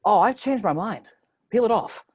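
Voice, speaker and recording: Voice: medium pitch, animated, normal speed, slight background noise, lightly acted. A performer playing a flamboyant, mockingly sweet male crime boss in an amateur table read over a video call. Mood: disgusted. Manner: theatrical, mock-tender, abruptly disgusted.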